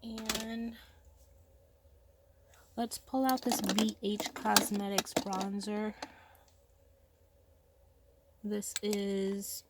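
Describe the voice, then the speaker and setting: A woman's voice: mid-range voice, background noise. A woman with a stuffy cold nose, chatting casually and thinking aloud as she sorts her makeup at home. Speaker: beauty vlogger. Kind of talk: casual makeup vlog chat. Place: home room with vanities.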